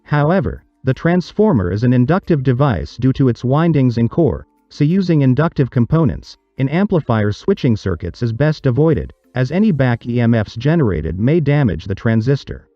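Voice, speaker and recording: A man reading a scripted explanatory narration for a tutorial, in a measured, didactic, step-by-step manner. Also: medium pitch, big pitch swings, dark tone, no echo, muffled recording, soft background music.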